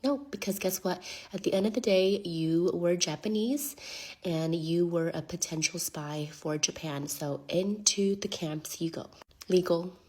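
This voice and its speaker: A woman's voice: on the low side, a little slow, darkish tone. A woman commentator asking pointed rhetorical questions.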